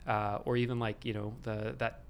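A man's voice: fairly low pitch, flat delivery, normal speed, slight background noise. A man hosting as a physical therapist, explaining ideas in a thoughtful, casual way with fillers and restarts. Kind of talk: casual interview chat.

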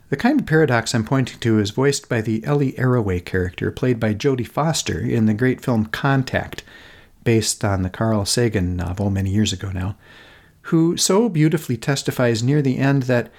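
Podcast host: American male, middle-aged, mid-pitched and very expressive, contemplative scripted delivery, gentle and deliberate. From Minnesota, United States. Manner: contemplative, gentle, deliberate phrasing with emphatic repetition. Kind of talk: reflective scripted narration.

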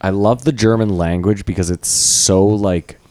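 A man's voice: low voice, moderate pace, a little mellow, no echo. A man co-hosting a podcast, talkative and sweary, arguing his points in casual conversation. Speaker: podcast co-host. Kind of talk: casual banter.

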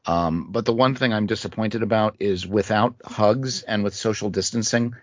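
A man co-hosting a casual podcast, relaxed, acting out little scenes as he talks. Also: fairly low pitch, moderate pace, dry recording, muffled recording. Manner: relaxed storytelling, acts out scenes. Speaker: podcast co-host. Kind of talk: casual chat.

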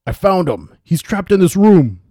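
A man voicing an aggressive teenage boy guard with urgent, shouted delivery in a story. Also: on the high side, expressive, fairly quick, no echo, clean studio sound, voice pushed up, lightly acted. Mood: angry shouting.

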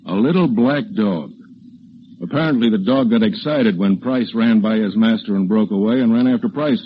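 A man playing a matter-of-fact police lieutenant in a 1940s radio drama, measured and businesslike, on a muffled, noisy recording. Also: fairly low pitch, lightly acted.

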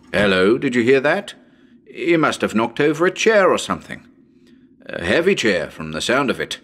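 Man acting a nervous, deep-voiced radio ghost hunter. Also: a bit bright. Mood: chatty unease. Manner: hesitant, stammering, chatty, uneasy. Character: nervous male radio broadcaster ghost hunting in haunted house. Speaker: radio presenter in a dramatic reading. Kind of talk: dramatic reading as live radio monologue.

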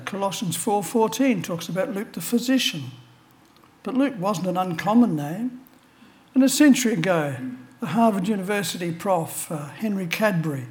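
Older man preaching a chapel sermon. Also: high-pitched. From Australia. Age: 70s. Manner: storytelling, with dry humorous asides.

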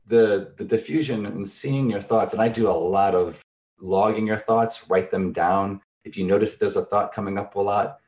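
A man's voice: on the low side, fairly even intonation, moderate pace, a little mellow. A middle-aged man, a therapist, explaining concepts in a thoughtful, conversational way with everyday examples.

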